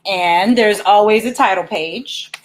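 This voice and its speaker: A woman's voice: medium pitch, measured pace, slight background noise, low-quality recording. A woman speaking informally as a guest children's author and librarian, presenting a read-aloud for kids.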